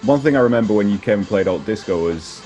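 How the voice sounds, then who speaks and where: Male host, lowish voice, informal interview style, music underneath. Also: animated, quick pace, mellow. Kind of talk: casual interview. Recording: music playing behind the voice.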